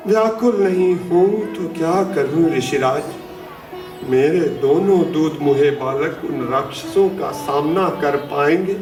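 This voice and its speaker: A man's voice: fairly high pitch, measured pace, lightly acted. An actor playing a noble, troubled king and doting father in a mythological drama, formal, reverent and solemn in his declamation. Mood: anguished, pleading. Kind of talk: theatrical Hindi mythological audio drama. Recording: roomy distant playback with music behind.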